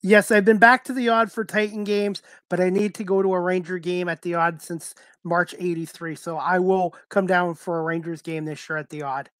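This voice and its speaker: A middle-aged man with a Canadian accent, hosting a casual interview in a halting way over a headset. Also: sits high, steady tone, a bit bright, dry recording. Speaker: talk show host, interviewer. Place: indoors, on a video call.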